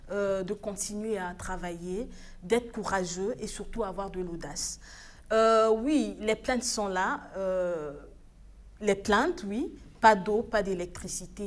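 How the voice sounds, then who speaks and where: A woman in her thirties from the Republic of the Congo, speaking as a studio guest on a TV news program in an earnest, exhorting way with hesitant restarts. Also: medium pitch. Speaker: studio guest, African Union official.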